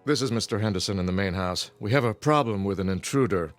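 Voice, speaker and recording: Actor as low-voiced, commanding father. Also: soft background music, close to an everyday voice. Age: middle-aged. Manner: brisk, authoritative. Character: commanding, protective, well-off middle-aged father. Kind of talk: radio drama acting.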